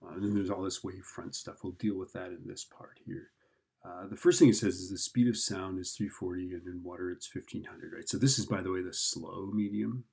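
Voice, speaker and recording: A man with a fairly low, gravelly voice gives an informal lesson. He talks through each step as if thinking aloud, in a casual teacher's manner, often checking that the listener follows. His tone has a husky edge. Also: steady tone, brisk, darkish tone. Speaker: physics teacher. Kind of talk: informal worked-problem explanation.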